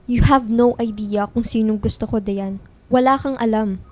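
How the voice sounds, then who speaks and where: Radio drama best friend, played by a woman: young, guarded, blunt, fairly high female voice. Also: moderate pace, darkish tone, soft background music, lightly acted. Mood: hurt, confrontational.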